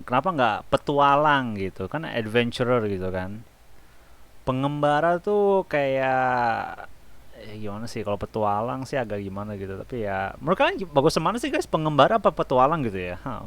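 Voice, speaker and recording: A man's voice: medium pitch, sing-song, fast talker. A young Indonesian man giving casual, slangy let's-play commentary, chatting and thinking aloud. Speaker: gaming YouTuber. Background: faint game music.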